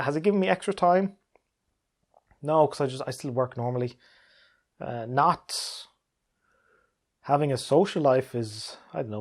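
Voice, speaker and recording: A man with a medium-pitched voice talks casually as the host of a gaming question-and-answer video. His delivery is easygoing and conversational, like an informal chat with viewers. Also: darkish tone. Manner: easygoing, conversational, chuckles often.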